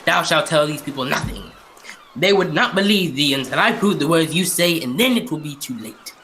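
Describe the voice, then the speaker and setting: A woman's voice: low-pitched, expressive, normal speed, fairly bright tone, a little hiss or hum. A woman acting a bitter, cursed undead sea captain with archaic, menacing speech in a radio drama. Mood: threatening.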